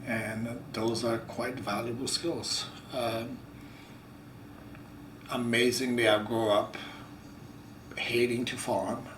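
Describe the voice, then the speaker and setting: A man in his forties from Croatia with a Croatian accent, co-hosting a casual farm chat, halting and repeating words as he talks. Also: medium pitch, flat delivery. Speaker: farm vlog co-host. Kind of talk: casual conversation. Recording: echoey room, mic at a distance, noisy.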